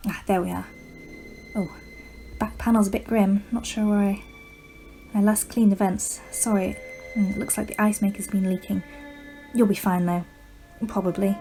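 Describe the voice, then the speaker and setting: A woman performing a radio-drama monologue as a wounded, sinister house-plant owner, speaking in a hushed, halting, confiding way. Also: mid-range voice, rough recording. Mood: muttering, distracted.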